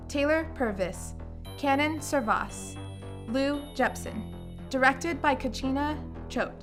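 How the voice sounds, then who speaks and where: A woman's voice: sits high, a bit bright. A woman reading scripted credits as an announcer for an audio drama.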